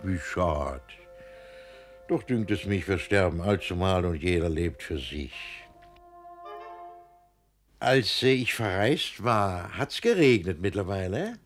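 Pompous old guardian, deep husky voice, swooping intonation, jealous, irascible, verse radio play. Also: male voice, sing-song, faint music behind, full character voice. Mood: awkward, probing. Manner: pompous, halting, swings from muttering to outbursts. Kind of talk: classic German radio play in rhymed verse.

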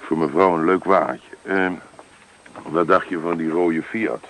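A folksy car dealer with a very deep voice. He pitches his wares in chummy, hesitant patter. It is a radio drama recording with faint background noise. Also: measured pace, a bit bright, rough recording. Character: folksy male small-town car dealer, chummy salesman. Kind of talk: Dutch radio play acting.

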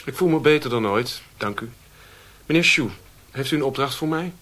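A slim, proud Western adventurer in a radio drama. He has a medium-pitched male voice and talks in a formal, curt way, impatient and defiant. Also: lively intonation, normal speed, fairly bright tone. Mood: guarded, polite. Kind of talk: radio play acting.

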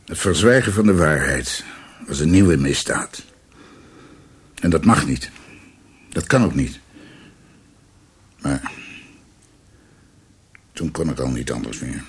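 An actor playing a guilt-ridden older former judge in a radio drama, husky, halting and formal, a proud and guarded father. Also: very deep voice, lively intonation, heavily acted. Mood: remorseful.

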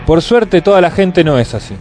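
A man's voice: sits high, sing-song, moderate pace, loud music behind the voice. A man playing a stingy, self-righteous Buenos Aires businessman, indignant and blustering, in a comic radio play. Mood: smug, nostalgic. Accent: Buenos Aires Argentine. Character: grumpy stingy scheming middle-class businessman, self-righteous.

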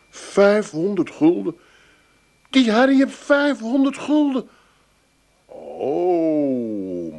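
An actor playing a cheeky young man with an Amsterdam accent in a radio play, talking in a playful, drawn-out way. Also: mid-range voice, darkish tone, full character voice.

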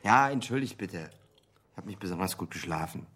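An actor playing the earnest, brooding head of a small detective agency in a radio crime drama, explaining firmly and deliberately. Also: male voice, sits low, lively intonation, fairly bright tone, breathy edge, exaggerated voice. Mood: businesslike.

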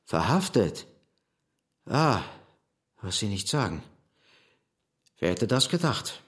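Slow, guarded fugitive man. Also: fairly low pitch, sing-song, a bit bright, full character voice. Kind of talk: German radio drama acting.

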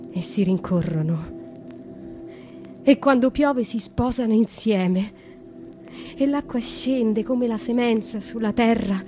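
A woman's voice: fairly high pitch, mellow. An imprisoned young noblewoman. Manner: lyrical, slow and reflective. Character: young noblewoman imprisoned in a dark cell, brooding. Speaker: actress. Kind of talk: radio drama monologue.

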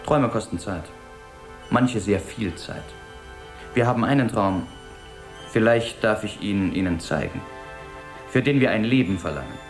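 Radio drama merchant: lowish, courteous, matter-of-fact, quietly uncanny, roomy acoustic. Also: male voice, lively intonation, measured pace, pauses often, faint music behind. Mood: businesslike calm. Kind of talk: 1970s German-language radio drama acting.

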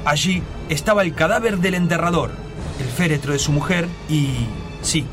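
A man's voice: on the high side, moderate pace. A man narrating a scripted radio drama in a solemn, suspenseful storytelling manner. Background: loud music.

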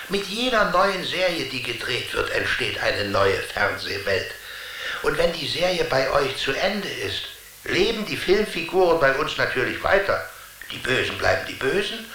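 An actor playing a touchy, talkative television character in a children's radio play, explaining things theatrically. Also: male voice, fairly high pitch, sing-song, a little slow, bright, forceful tone, roomy sound, full character voice, poor audio. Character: touchy, talkative male TV character living inside the screen.

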